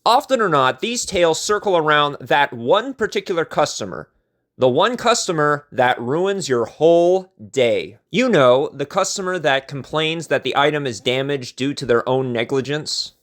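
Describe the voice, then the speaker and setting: A male storyteller with a somewhat higher-pitched voice. He delivers a scripted first-person tale in measured phrases, with a theatrical touch to his narration. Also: lively intonation, fairly bright tone.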